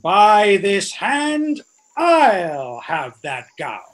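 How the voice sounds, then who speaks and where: A man's voice: high-pitched, huge pitch swings, very slow, heavily acted. Man playing a swooping, pompous drunken self-made king. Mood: pompous, bossy. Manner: pompous, blustering. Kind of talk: Shakespearean radio play acting.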